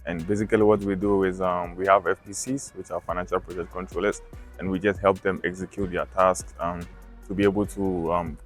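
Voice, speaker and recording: A man from Ghana, a final-year student and trainee, speaking in a measured way with hesitations. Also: deep voice, level tone, faint music behind.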